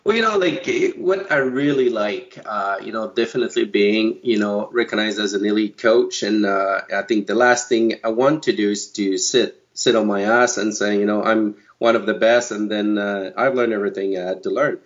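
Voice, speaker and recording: A man answering interview questions as a guest coach, speaking haltingly with many fillers and restarts. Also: on the low side, highs cut off.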